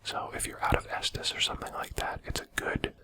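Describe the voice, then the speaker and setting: ASMR let's-play commentator. Fully whispered, breathy and crisp, with soft conversational explaining. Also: fairly quick. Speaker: video game streamer and commentator.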